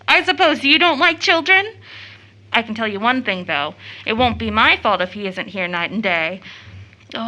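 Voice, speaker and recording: A woman's voice: a little high, pushed and bright, low-quality recording. A young American woman acting a playful, affectionate, impulsive daughter in a period audio drama, with effusive, teasing, girlish delivery.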